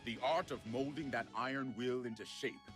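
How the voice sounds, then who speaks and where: A man's voice: medium pitch, moderate pace, close to an everyday voice. A man voicing a brooding, dignified vampire samurai in a video game, grave and formal. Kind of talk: video game character acting. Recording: studio voice under loud guitar music.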